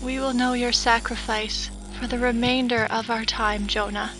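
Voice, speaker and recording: A woman's voice: a little high, little pitch movement, normal speed, fairly bright tone, soft background music, close to an everyday voice. A woman playing a devoted, grave female synthetic human in a sci-fi audio drama, speaking in an earnest, solemn manner. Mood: tender, sorrowful.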